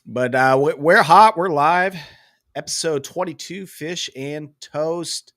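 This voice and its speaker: A man's voice: fairly high pitch, slow, a bit bright, no room sound, clean studio sound. A young man with a head cold, rambling casually as co-host of a sports podcast, full of fillers and self-deprecating asides.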